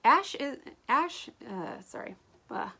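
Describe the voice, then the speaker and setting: Expressive woman reading a story aloud. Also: high voice, slow, gravelly, husky. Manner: animated, stumbles over words and rereads. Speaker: author reading own story.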